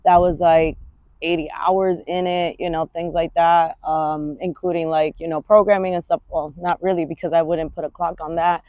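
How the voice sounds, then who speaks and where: A woman's voice: lowish voice, level tone, moderate pace, mellow, dry recording, muffled recording. Candid, swearing New woman coach. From Washington Heights, New York. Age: 30s. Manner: candid, rambling, swears freely. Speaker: fitness coach. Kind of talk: casual vlog monologue.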